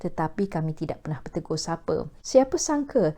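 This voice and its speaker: A woman with a Malaysian accent hosting a talk video, speaking formally with measured, newsreader-like diction. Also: sits low, very animated, mellow. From Perak, Malaysia. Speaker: host of a talk video series. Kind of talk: formal scripted presentation to camera.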